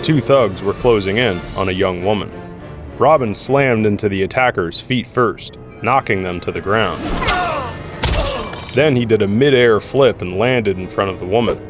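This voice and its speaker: Low-pitched male audio drama narrator. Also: normal speed, music in the background.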